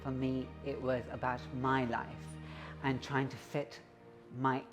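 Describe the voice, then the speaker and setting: A woman's voice: extremely low pitch, level tone, a little slow, over music. A woman who works as an actor speaking confidently about her life in an interview. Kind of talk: personal statement.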